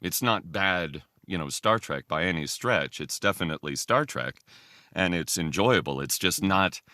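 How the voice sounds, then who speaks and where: Deep, bright-voiced man chatting casually. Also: expressive, moderate pace, no room sound, clean studio sound. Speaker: podcast guest. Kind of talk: casual conversation.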